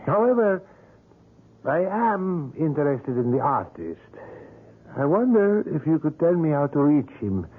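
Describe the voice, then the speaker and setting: An actor playing a sly, formally polite artist in a 1970s radio drama, with a husky voice and hesitant, precise phrasing. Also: male voice, fairly high pitch, big pitch swings, a little slow, exaggerated voice. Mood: polite, evasive. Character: mysterious, courteous, sly male portrait sculptor. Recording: muffled, narrow vintage radio broadcast.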